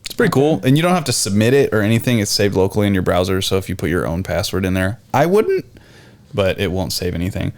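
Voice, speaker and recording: A man's voice: low-pitched, very animated, fast. A man with an American accent in casual, informal podcast banter as a co-host. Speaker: podcast co-host, IT and cybersecurity worker.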